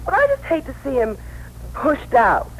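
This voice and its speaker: A woman playing a deferential, soft-hearted young secretary in a radio drama, hesitant and pleading, with a husky voice. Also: high-pitched, huge pitch swings, moderate pace, slight background noise, full character voice, rough recording. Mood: troubled, pleading. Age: young adult. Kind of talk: 1960s radio acting. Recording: old radio broadcast.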